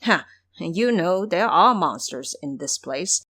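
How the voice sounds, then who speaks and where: Here is a lazy pig-man fool from an audio drama, acted out by a woman. He grumbles with great self-pity and indignation. The pitch swings widely as he exclaims over his lot. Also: animated, unhurried, fairly bright tone, breathy edge, exaggerated voice. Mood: indignant grumbling. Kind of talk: audio drama character acting.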